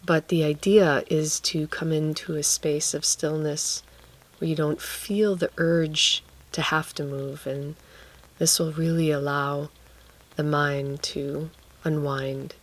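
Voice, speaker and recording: Slow, low-pitched woman guiding meditation. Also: steady tone, poor audio. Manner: unhurried, gentle instruction with long pauses. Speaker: guided meditation teacher. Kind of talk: guided relaxation meditation.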